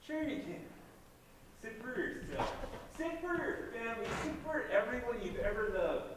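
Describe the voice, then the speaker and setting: A man's voice: very high-pitched, sing-song, moderate pace. A man playing an earnest, hapless young leader of doomed survivors, speechifying in a comedic stage play. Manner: mock-inspirational speechifying. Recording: echoey hall, distant mic, faint noise.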